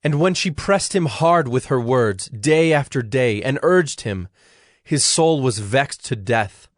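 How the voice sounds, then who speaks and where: A man narrating dramatized scripture in a measured storytelling manner. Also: mid-range voice, animated, no echo. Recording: dry studio with music underneath. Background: music.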